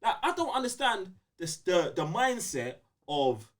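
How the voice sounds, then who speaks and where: A high-voiced man with a London accent hosts a casual podcast. His pitch swings wildly as he rants, hammering phrases again and again in heavy slang. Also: very animated, a little slow. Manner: ranting, emphatic, repeats phrases for emphasis, slangy. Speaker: podcast host. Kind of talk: heated casual gaming podcast banter.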